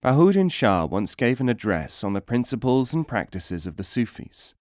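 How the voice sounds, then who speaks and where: A man reading teaching stories as an audiobook narrator, in a measured, formal storytelling manner. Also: mid-range voice, big pitch swings, darkish tone, no room sound, clean studio sound.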